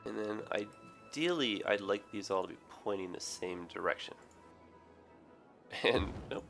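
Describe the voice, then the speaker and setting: A man with a raspy, hoarse, tired voice, rambling through casual game commentary with many ums and self-corrections. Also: fairly low pitch, lively intonation, takes it slowly, fairly bright tone, soft background music. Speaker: gaming video host. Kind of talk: casual let's play commentary.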